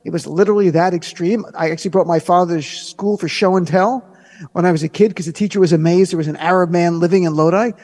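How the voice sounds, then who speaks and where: Comedian guy talking fast, highish voice, bit of reverb. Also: steady tone, a little mellow. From New Jersey. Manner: quick, joking storyteller. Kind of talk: interview clip.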